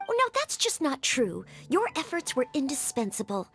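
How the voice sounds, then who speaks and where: High-voiced young fantasy heroine. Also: female voice, huge pitch swings, normal speed, fairly bright tone, faint music behind, exaggerated voice. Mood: earnest. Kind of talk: video game voice acting.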